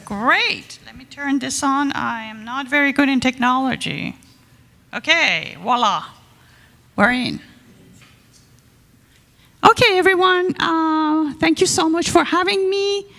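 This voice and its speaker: A woman's voice: sits high, expressive, takes it slowly, gravelly, pauses often, pushed and bright, in a large hall. A woman with an Iranian accent gives a joking, self-deprecating talk on religion to a congregation at a church service, speaking into a microphone she has just switched on. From Iran. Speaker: guest speaker.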